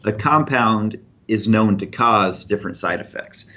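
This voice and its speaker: Methodical male researcher, low voice. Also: a little slow, bright, forceful tone, slight background noise. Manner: clear, methodical explaining with examples. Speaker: researcher guest. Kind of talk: explanatory interview answers. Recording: dull lo-fi podcast audio.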